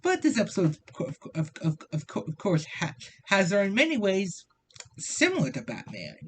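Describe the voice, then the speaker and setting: Stammering man, higher-pitched, with background noise. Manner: halting, frequent stammered repetitions of words and syllables. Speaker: video reviewer. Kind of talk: casual review commentary, loosely scripted. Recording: noticeable steady background noise.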